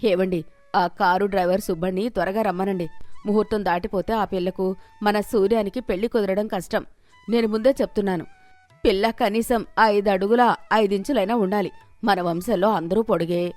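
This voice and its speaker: A woman's voice: mid-range voice, fairly even intonation, moderate pace, a little mellow, no echo, natural voice. Lady doing a bossy snobby village mother-in-law for a story, mocking, music behind. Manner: mocking, domineering. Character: proud, scornful, fussy village mother-in-law. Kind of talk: Telugu moral story character voice.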